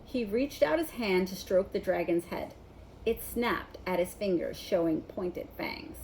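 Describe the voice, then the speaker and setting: A woman narrating a children's novel in an expressive read-aloud style. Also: medium pitch, unhurried, a little hiss or hum, poor audio. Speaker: storybook reader.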